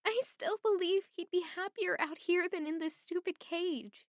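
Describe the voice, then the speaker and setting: A woman's voice: extremely high pitch, normal speed, dry recording, clean studio sound. A woman playing an earnest, wounded young noblewoman with an emotive delivery in an audio drama.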